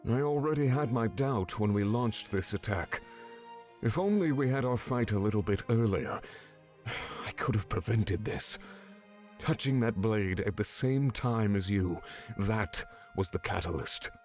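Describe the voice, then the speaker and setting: A man playing a grave, remorseful animal warrior mentor in a video game, speaking in a solemn, measured, lecturing way. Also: lowish voice, a little mellow, soft background music, lightly acted. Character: grave, remorseful male furry warrior mentor, stern and guarded. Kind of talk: scripted video game character acting.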